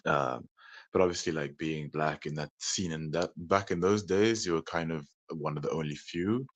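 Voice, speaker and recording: Very deep-voiced man, meandering. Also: moderate pace, no echo. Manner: meandering, hesitant, full of "like" and "you know". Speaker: musician guest. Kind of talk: casual interview talk.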